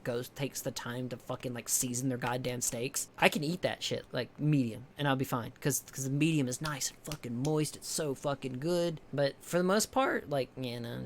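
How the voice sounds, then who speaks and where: A young man from the with a higher-pitched voice, chatting casually with his stream viewers, rambling, swearing a lot and restarting often. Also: gravelly, slight background noise. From American South. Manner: rambling, heavy swearing, many restarts and fillers.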